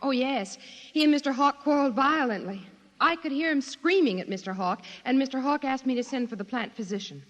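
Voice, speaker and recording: An actress playing a secretary testifying in court, in 1950s radio drama. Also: female voice, high voice, animated, brisk. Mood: earnest. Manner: earnest witness testimony. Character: young secretary witness. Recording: dull old broadcast with long reverb.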